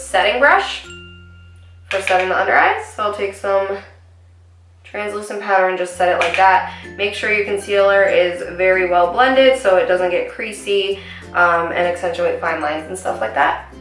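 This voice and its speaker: A young woman with a slightly raspy voice talks her way through a makeup routine, rambling and filling the pauses with ums. She sits a little back from the camera mic in a small home room, so her voice carries a roomy echo, with faint music underneath. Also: mid-range voice, fairly bright tone, distant mic. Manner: chatty, rambling with many ums. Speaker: beauty vlogger. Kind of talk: casual makeup tutorial.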